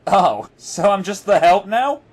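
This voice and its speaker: Wry male agent, acted by a man, slightly high and very animated, wordy, faint noise. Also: measured pace. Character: wry, self-assured male agent, loyal, dryly teasing. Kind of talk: fan audio drama acting.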